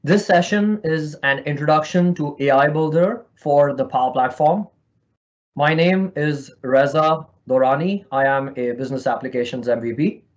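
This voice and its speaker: A man's voice: on the high side, moderate pace, highs cut off. A man from India with a slight Indian accent, presenting a virtual conference session in a friendly, explanatory way over an online call. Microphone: remote call mic.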